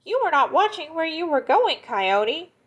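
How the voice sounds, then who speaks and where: A woman voicing a scolding little gopher in a children's story, exclaiming loudly. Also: sits high, very animated, unhurried, voice pushed up. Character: scolding gopher peering from its dusty hole.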